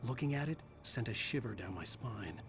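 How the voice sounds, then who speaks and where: An actor playing a brooding, haunted writer who narrates his ordeal gravely in a thriller video game. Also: mid-range voice, animated, moderate pace, music in the background, close to an everyday voice. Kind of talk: scripted first-person thriller narration.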